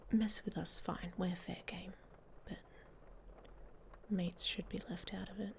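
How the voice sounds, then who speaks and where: A woman playing a hot-tempered, protective she-wolf in an intimate ASMR roleplay, with a husky, breathy voice and a blunt, sardonic manner. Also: fairly low pitch, level tone, a little slow, slight background noise. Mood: gruff, reassuring. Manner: sardonic, blunt, speaking straight to the listener.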